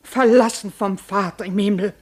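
A woman's voice: mid-range voice, moderate pace, darkish tone, close to an everyday voice. An actress playing a pious, stern peasant foster mother in a radio play, solemn and urgent as if chanting prayers. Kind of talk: classic German radio play acting.

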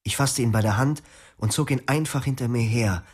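A man narrating his memories in the first person, reflective and quiet. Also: mid-range voice, a little fast, slightly rough. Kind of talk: scripted memoir narration. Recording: music behind.

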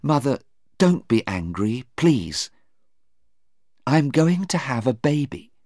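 A man's voice: mid-range voice, very animated, slow pace, darkish tone, no room sound, clean studio sound, pitched above the performer's own voice. A man voicing a young daughter in a storybook reading, earnest, emotional and pleading. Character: pleading, frightened young daughter in love, pregnant.

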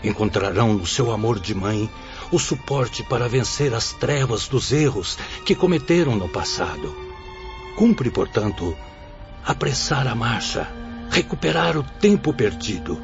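A kindly spiritual mentor in a Brazilian radio play. He exhorts in formal, fatherly tones with broad rises and falls. The sound is dull, with clear music underneath. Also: medium pitch, lively intonation, unhurried. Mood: solemn exhortation. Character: kindly, solemn director of a spirit-world school. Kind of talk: Brazilian radio drama acting.

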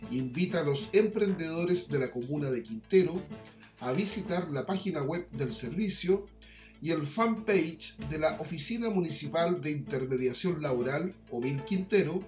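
Man, news presenter, scripted read, higher-set voice, echoey room sound. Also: expressive, normal speed, darkish tone. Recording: echoey voice over music bed.

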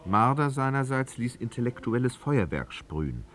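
A man narrating a literary radio drama in a measured, articulate storytelling manner. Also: mid-range voice, big pitch swings, a bit bright.

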